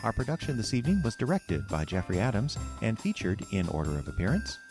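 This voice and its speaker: A man's voice: on the low side, huge pitch swings, fast, over music. A man reading scripted closing credits as the announcer of a radio drama.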